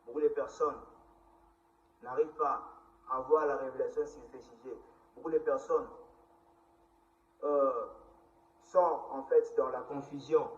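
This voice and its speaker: The voice is a man with a Congolese accent and a middling pitch, preaching to a recorder. He sets out his teaching in deliberate, halting, repeated phrases. The sound is roomy and slightly muffled, as from a lo-fi home setup. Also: far from the mic. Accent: Congolese (Kinshasa). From Kinshasa, DR Congo. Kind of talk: recorded Bible teaching, reading scripture aloud. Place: indoor room at a computer. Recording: roomy, echoing, dull lo-fi sound.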